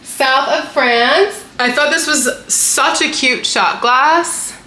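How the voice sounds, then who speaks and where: A woman's voice: sits high, takes it slowly, bright, forceful tone. A young woman chatting casually to camera about things she bought, bubbly and full of "like" fillers. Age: young adult. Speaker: vlogger showing a travel haul. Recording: roomy echo, faint room noise.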